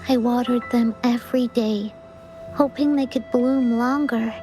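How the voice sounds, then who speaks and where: Gentle young game girl, played by a woman: high, soft, earnest, missing someone dear, light music underneath. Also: steady tone, unhurried, close to an everyday voice. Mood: sad, tender. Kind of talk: scripted video game story dialogue.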